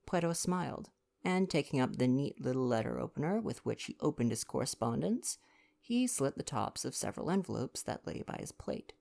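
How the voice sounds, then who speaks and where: A man's voice: mid-range voice, expressive, moderate pace, creaky, a little mellow. A man narrating a storybook in the style of an ASMR bedtime audiobook. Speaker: storybook reader.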